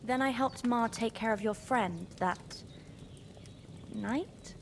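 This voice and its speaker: A woman's voice: on the high side, slow pace, gravelly, a little hiss or hum. An actress playing a kind, practical young village woman in a video game, with folksy, down-to-earth speech. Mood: caring. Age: young adult. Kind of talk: video game cutscene dialogue.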